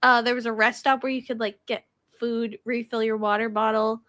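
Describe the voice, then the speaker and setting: Young female podcast co-host, high bright voice, jokey and teasing. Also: flat delivery, no room sound, studio quality. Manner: playful teasing, quick jokes. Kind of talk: casual chat.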